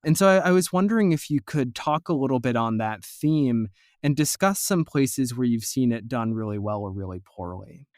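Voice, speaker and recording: A man's voice: medium pitch, lively intonation, moderate pace, a little mellow, no echo, clean studio sound. A man with an American accent, hosting a podcast interview in a conversational style. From United States.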